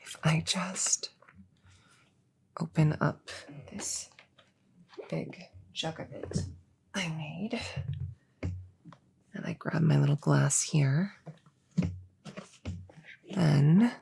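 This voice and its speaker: A woman playing a friendly, slightly bumbling novice witch in a soft-spoken ASMR roleplay, breathy and often whispering, with a hesitant, casual way of talking. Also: deep voice, extremely slow, long pauses, a little hiss or hum, exaggerated voice. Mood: chatty, gentle. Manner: hesitant, casual, self-correcting.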